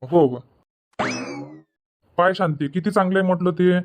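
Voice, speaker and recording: A man voicing rustic Varhadi Marathi farmer, high-pitched. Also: moderate pace, natural voice. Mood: agreeable. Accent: Varhadi Marathi, Vidarbha. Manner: colloquial, repetitive rustic chatter. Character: village orange farmers and traders, plain-spoken rustic men. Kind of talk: dubbed cartoon comedy dialogue. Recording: dull lo-fi audio.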